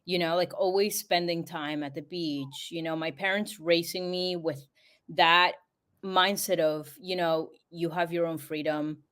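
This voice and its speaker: A woman's voice: fairly low pitch, steady tone, unhurried, a bit bright. A young woman from Peru chatting as a podcast guest, full of fillers like "you know" and "like". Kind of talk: casual interview chat.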